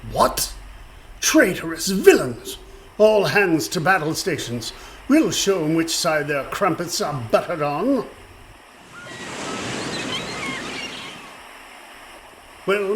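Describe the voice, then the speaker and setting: An actor playing a blustering, villainous pirate captain in a radio play, with a pompous, theatrical, mock-courteous delivery. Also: male voice, high-pitched, big pitch swings, a little slow. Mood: indignant, commanding. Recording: faint background noise.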